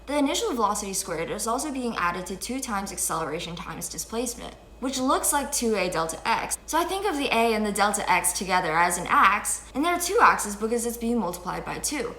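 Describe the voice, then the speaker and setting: An upbeat teenage girl walks through a physics lesson with chatty, self-deprecating asides. Her voice is bright and sharp. She is recorded on a fixed camera in a small room that leaves a clear echo. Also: medium pitch, very animated, a little fast, hardly any pauses, slight background noise. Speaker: host. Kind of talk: educational video explanation. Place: indoor room with window blinds. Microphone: fixed camera at chest-up framing.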